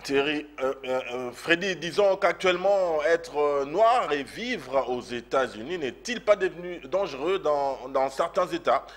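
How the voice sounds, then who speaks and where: A man interviewing a guest, asking measured questions with some fillers. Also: fairly high pitch, animated.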